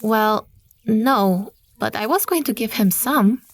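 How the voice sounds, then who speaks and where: A woman's voice: fairly high pitch, expressive, takes it slowly, full character voice. An actress playing a practical, matter-of-fact young village mother in an audio drama. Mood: plain.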